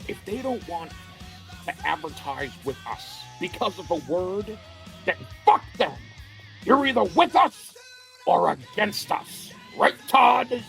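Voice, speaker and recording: A man's voice: sits high, big pitch swings, slow pace, creaky, fairly bright tone, full character voice. A man playing a fervent, defiant wrestling promoter who rallies his crew with grandiose speeches in an audio play. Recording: faint music behind the voice.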